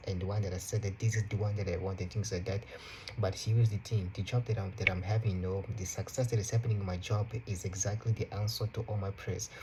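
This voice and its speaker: A young man around twenty whose first language is Portuguese, talking casually to camera from his room in an unhurried way, full of "you know" and "right". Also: lowish voice, a little hiss or hum, poor audio. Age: young adult. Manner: relaxed, unhurried, repeats phrases, fillers like "you know" and "right". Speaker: vlogger. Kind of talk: casual motivational talk to camera.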